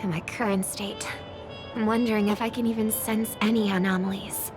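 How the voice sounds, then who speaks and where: An actress playing a gentle young singer and devoted younger sister in a game cutscene, speaking in a soft, hushed way. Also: female voice, medium pitch, steady tone, close to an everyday voice. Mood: hushed worry. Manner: soft-spoken, slow and hushed. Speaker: game character. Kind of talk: voiced game cutscene dialogue. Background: game music.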